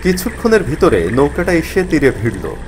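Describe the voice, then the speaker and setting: A man narrating a spooky first-person story in an expressive, dramatized way. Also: on the high side, moderate pace, background noise. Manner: expressive, acts out the character's worried thoughts. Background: faint music.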